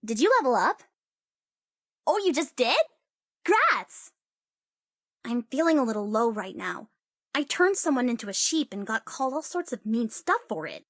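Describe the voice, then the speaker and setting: High-pitched, sassy young sorceress. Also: female voice, big pitch swings, moderate pace, pauses often, fairly bright tone, no echo, clean studio sound. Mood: wry, friendly. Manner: sassy, playful, teasing, theatrical. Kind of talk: video game character voice lines.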